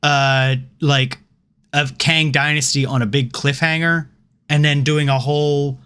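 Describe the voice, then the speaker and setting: A man co-hosts a loose podcast conversation, pitched a touch above most men. He argues his view at length and swears casually. He often repeats words as he searches for the next thought. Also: unhurried, clean tone. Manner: animated, opinionated, swears freely, repeats words when starting. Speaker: podcast co-host. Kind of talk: casual chat.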